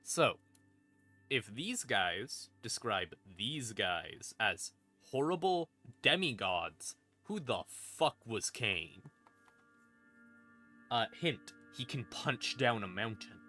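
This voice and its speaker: A man's voice: on the low side, sing-song, slow, sharp tone. A man casually explaining lore as a streamer, irreverent and sweary, with wry asides. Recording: eerie ambient music behind voice. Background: creepy ambient music.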